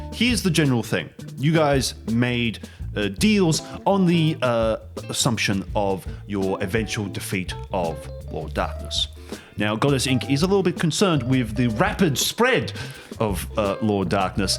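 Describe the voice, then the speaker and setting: A man playing a harried, officious agent of a goddess company in a tabletop game, explaining things haltingly. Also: fairly low pitch.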